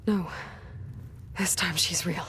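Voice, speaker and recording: A woman playing a guarded, determined young adventurer in a video game, with a halting, understated delivery. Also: lowish voice, fairly even intonation, bright, forceful tone, slight background noise. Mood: tentative. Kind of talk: video game cutscene acting.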